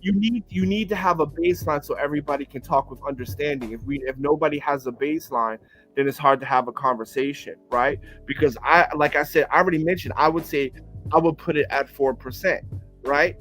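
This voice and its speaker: Insistent higher-voiced man in his forties. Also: fairly quick, a bit bright, faint music behind. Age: 40s. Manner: insistent, interrupts, repeats words. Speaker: panel guest. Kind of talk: casual livestream debate.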